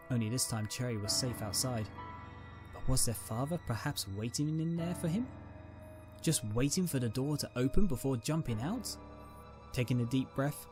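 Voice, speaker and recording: A man narrates a dramatic story from a script. His voice sits at an ordinary male pitch, and music plays softly behind him. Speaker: narrator, reader. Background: background music.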